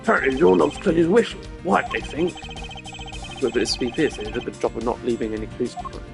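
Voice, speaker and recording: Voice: medium pitch, animated, quick pace, gravelly, music in the background. A performer voicing an enthusiastic, bumbling male police detective in a video game, blunt, folksy and eager. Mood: eager reporting.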